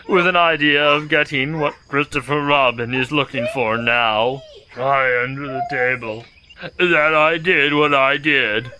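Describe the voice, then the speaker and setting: A man playing a gloomy, self-pitying donkey who grumbles pompously in a slow, halting, sardonic way in an audio storybook drama. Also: medium pitch, bright, forceful tone, clear, resonant tone.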